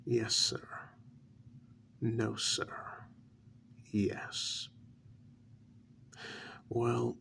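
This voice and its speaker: Man playing a gravelly, soft-spoken, deferential agent. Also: medium pitch, monotone, slight background noise, full character voice. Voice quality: breathy, half-whispered, gravelly. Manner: measured, halting, deferential. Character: deferential facility agent reporting to his superior on a runaway. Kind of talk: soft-spoken ASMR role-play.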